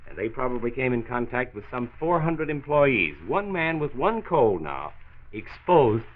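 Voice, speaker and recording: An actor playing a calm, authoritative American health doctor in a 1940s radio drama, measured and instructive, stressing his key points. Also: medium pitch. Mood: firm. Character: calm, authoritative male county health doctor, patiently explaining. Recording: tinny, noisy 1940s radio recording.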